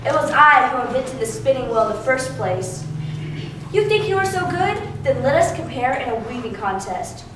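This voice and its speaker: A woman's voice: high-pitched, very animated, normal speed, heavy reverb. Haughty, indignant goddess for stage reading, played by a woman; distant mic, noisy room. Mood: furious. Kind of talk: readers theatre stage performance.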